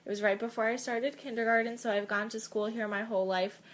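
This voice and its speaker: A young woman answers interview questions in a somewhat high voice. She explains her thinking in a relaxed, chatty way, with the odd filler. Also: fast, slight background noise. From Poland, grew up in Pennsylvania. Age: young adult. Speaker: interviewee, student. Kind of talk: casual interview.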